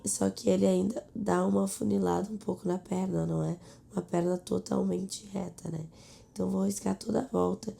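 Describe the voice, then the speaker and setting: A woman, hoarse and losing her voice, hosts a live sewing tutorial, explaining steps and checking in with her viewers. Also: medium pitch. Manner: explanatory, with frequent "tá?" and "né?" check-ins. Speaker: sewing instructor and host.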